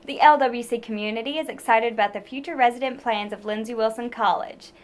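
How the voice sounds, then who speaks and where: A young woman reads campus news from a script with a fairly high, clear voice. Her delivery is even and polished, like a student news anchor. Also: fairly quick, noisy background.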